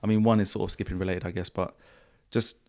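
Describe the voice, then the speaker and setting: A man hosting a podcast in a casual, relaxed way, with a croaky voice and slangy, repetitive phrasing. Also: deep voice, quick pace. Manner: relaxed, repeats himself, slangy. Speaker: podcast host. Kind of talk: casual podcast chat answering viewer questions. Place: home studio.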